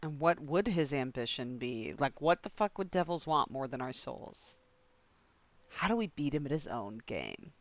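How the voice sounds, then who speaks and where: A woman streaming a game, casually reacting and reading choices aloud in an expressive, irreverent, giggly way. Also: rumbling low, normal speed, dry recording, muffled recording.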